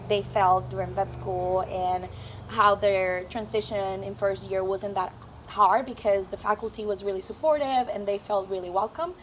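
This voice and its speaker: A young woman tells her story casually, pausing often on "um". Her voice is mid-pitched, with a light hum of noise in the room. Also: rough recording. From Puerto Rico. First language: Spanish. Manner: relaxed, storytelling, with hesitant "um"s. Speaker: veterinary student. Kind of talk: casual group interview.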